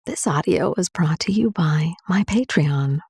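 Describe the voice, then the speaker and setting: A woman's voice: low-pitched, lively intonation, unhurried, a little mellow, breathy edge, no echo, clean studio sound. A woman who makes roleplay audio, speaking a short thank-you credit to her listeners.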